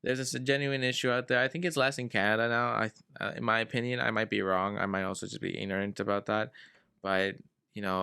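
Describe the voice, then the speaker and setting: A man's voice: on the low side, vocal fry, sharp tone, clear, resonant tone. A man from Venezuela, a guest in a casual conversation, talking in a laid-back way and giving earnest advice.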